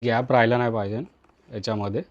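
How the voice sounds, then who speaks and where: A man's voice: medium pitch, steady tone, fast talker, dull audio. A man with an Indian accent from Maharashtra gives a casual hands-on tutorial, talking to viewers in short, repeated instructional phrases. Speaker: vlogger, tutorial host.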